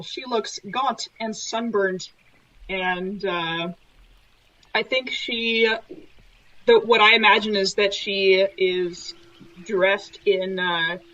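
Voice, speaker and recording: A woman's voice: medium pitch, fairly bright tone. A woman talking casually as a player in a roleplaying game, describing her character, on slightly lo-fi audio. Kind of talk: casual table talk, describing own character. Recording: slightly dull, lo-fi online audio.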